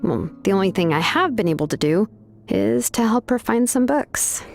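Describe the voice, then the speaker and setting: A woman's voice: mid-range voice, lively intonation, moderate pace, creaky, faint music behind. An actress playing a laid-back, caring young craftswoman in a video game, talking casually and modestly. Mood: modest, regretful. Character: laid-back, caring young woman, tribe's name engraver.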